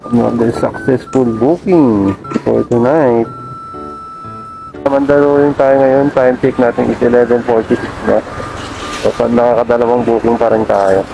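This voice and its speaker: A man's voice: medium pitch, steady tone, a little slow, vocal fry, low-quality recording. A Filipino man working as a motorcycle taxi rider and vlogger, chatting casually and politely while out on the road. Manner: polite, deferential. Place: outdoors on a motorcycle in city traffic. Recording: loud music, vehicle engine behind.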